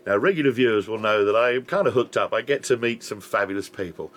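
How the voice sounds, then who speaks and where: A man's voice: on the low side, fast talker, fairly bright tone. Middle-aged man, upbeat interviewer who jumps in a lot, faint background noise. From England. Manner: enthusiastic, playful, interjects often. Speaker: interviewer and presenter. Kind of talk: chatty interview.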